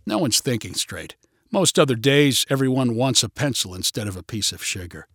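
Calm tactful guy soothing someone, read by a male narrator, kinda husky, dry sound. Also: mid-range voice, moderate pace, no room sound. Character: calm, tactful man soothing his partner.

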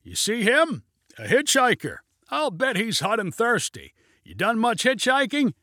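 Man voicing a high, excitable daredevil pilot. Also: measured pace, no echo, studio quality, exaggerated voice. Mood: breezy, excited. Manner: folksy, loud, breezily confident. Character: reckless, cheerful male pilot, shouting with excitement.

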